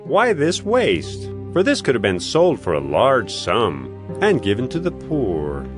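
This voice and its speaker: A man's voice: medium pitch, wildly swooping pitch, a little slow. An actor playing a grasping, resentful male betrayer in a scripture drama, pointed and theatrical. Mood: indignant. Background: music.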